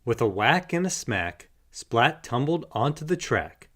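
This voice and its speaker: A man narrates a children's picture book in an unhurried, expressive way. His mid-pitched voice moves up and down in lively swings as he tells the story. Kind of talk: children's read-aloud narration.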